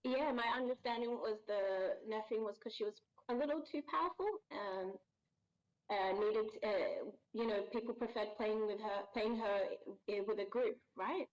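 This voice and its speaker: A woman's voice: mid-range voice, normal speed, muffled recording. Woman, podcast guest on a video call; friendly, laughs often, chatty with fillers. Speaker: voice actor guest. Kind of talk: casual podcast interview. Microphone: remote video call.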